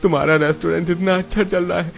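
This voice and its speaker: A man's voice: sits high, steady tone, quick pace, gravelly. A man voicing a poor, honest, earnest street food vendor in a cartoon story. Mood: aggrieved. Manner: earnest, pleading. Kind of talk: Hindi cartoon story dialogue. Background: background music.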